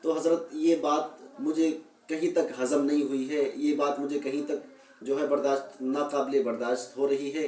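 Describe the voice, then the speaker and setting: A man's voice: a little high, flat delivery, normal speed, darkish tone. A man giving informal religious commentary and admonishment, earnest and repeating his phrases for emphasis. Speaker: Islamic religious commentator addressing viewers. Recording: echoey room, distant microphone.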